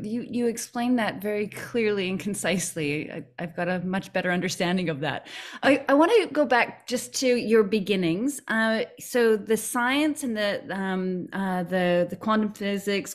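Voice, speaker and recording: Middle-aged woman hosting, medium pitch. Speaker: podcast host. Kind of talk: conversational interviewing. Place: indoor home room, video call.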